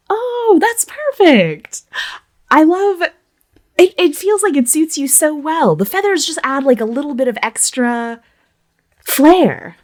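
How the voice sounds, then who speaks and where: A woman's voice: high voice, very animated, takes it slowly, heavily acted. A woman playing a sweet, enthusiastic young female theatre kid in a tabletop roleplay, earnest and gushing. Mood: delighted.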